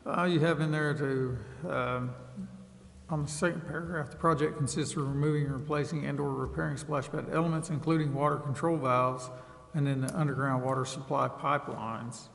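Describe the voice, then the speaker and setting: Council guy with a creaky voice asking casual questions, really echoey hall. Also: fairly high pitch, fairly even intonation, fairly quick, a bit bright. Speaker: city council member. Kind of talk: casual questioning in a public meeting. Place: large indoor council chamber. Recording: echoey hall, faint background noise.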